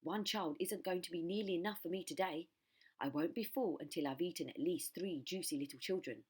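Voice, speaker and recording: A woman voicing a huge, sly, greedy crocodile in a children's storybook, gloating over every drawn-out word. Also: fairly low pitch, a little mellow, husky. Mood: scheming. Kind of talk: children's storybook read-aloud.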